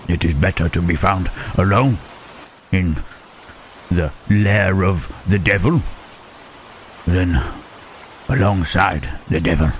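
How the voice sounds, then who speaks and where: Gentle lonely creature played by a man, very low voice, slow halting delivery, ASMR roleplay, rain and thunder behind. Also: a little hiss or hum. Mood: regretful, earnest. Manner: halting, hushed, careful speech with long pauses. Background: rain and thunderstorm ambience.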